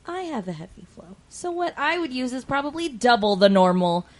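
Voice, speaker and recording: A woman's voice: on the high side, very animated, a little fast. A woman in casual podcast chat, talking frankly and swearing freely as a co-host.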